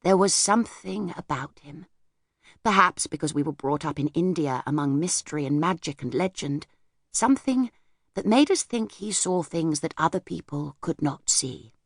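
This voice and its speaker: A woman voicing a reserved, wistful older lady in an audiobook, speaking gently and hesitantly as she looks back. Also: low voice, expressive, normal speed, dry recording, studio quality. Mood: reminiscing. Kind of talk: audiobook character voice.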